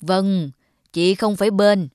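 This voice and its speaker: A woman's voice: medium pitch, fairly even intonation, slow, clean tone, no echo, clean studio sound, lightly acted. A woman playing a stern elderly cartoon grandmother and mother-in-law who scolds bluntly and frets. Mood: nagging. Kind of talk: cartoon voice acting. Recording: loud background music.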